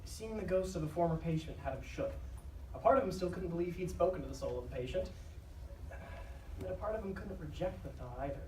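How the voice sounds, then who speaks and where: A woman's voice: low voice, warm tone, a little hiss or hum. A woman narrating a scripted live show in a casual, conversational way with wry asides. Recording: echoey live stage, distant, music behind.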